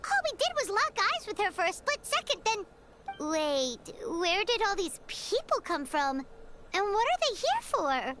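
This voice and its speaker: A woman voicing a small, chirpy, curious fairy companion with a squeaky, childlike voice in a video game. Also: very animated, measured pace, music in the background, heavily acted. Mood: puzzled, concerned. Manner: refers to self in third person, inquisitive.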